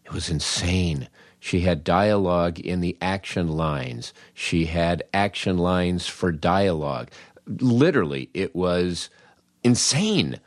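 A man's voice: deep voice, expressive, takes it slowly, no echo, studio quality. An older American man hosting a podcast and telling comic anecdotes in a wry, understated way. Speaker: podcast host.

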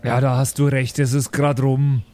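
A man's voice: medium pitch, level tone, normal speed, darkish tone, lightly acted. A man playing a flustered, suspicious schoolteacher in an improvised audio role-play, hesitant and colloquial. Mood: puzzled. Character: earnest, flustered, suspicious male schoolteacher amateur sleuth.

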